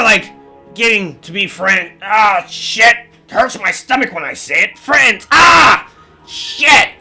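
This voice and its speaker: A man voicing a crude, grudging demon trapped in a jar, with halting, drawn-out, cursing speech, in a comic told story. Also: high-pitched, pushed and bright, voice pushed up, heavily acted. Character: gruff jarred demon, crude, grudgingly friendly, in pain at kindness.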